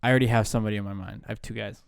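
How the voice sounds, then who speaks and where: Smooth low-voiced podcast co-host. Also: male voice, steady tone, rapid-fire, dry recording, clean studio sound. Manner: hedging, thinks aloud, backtracks. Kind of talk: casual sports chat.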